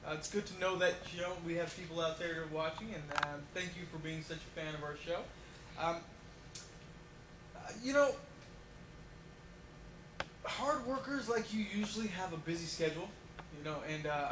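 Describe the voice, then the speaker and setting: A man's voice: fairly high pitch, natural voice. A man playing a friendly, polite talk show host in a homemade mock interview, chatty and hesitant, on echoey, noisy audio.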